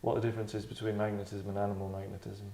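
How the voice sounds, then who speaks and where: A man hosting and directing a table read, chatting casually in a tongue-in-cheek acting critique, deadpan, rambling and self-satisfied. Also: lowish voice, racing, vocal fry. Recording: roomy, echoey indoor sound.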